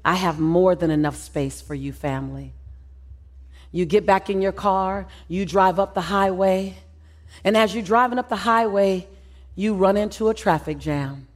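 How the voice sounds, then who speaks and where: Woman leading a guided visualization. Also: mid-range voice. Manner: soft and slow in the calm parts, rising and loud in the crowd's thanks.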